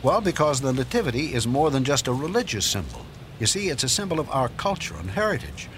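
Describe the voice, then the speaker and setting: A man's voice: medium pitch, very animated, fast, close to an everyday voice. An actor playing a kindly, wise older man in a children's radio drama, explaining things theatrically. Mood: assured, explaining. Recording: noisy radio drama clip, outdoor sound effects.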